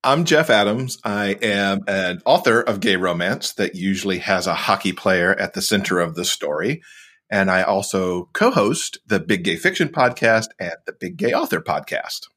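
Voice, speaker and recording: A man's voice: low-pitched, lively intonation, normal speed, fairly bright tone. A man giving a casual interview as a podcast guest, explaining things in an amiable way. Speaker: podcast guest, author and co-host.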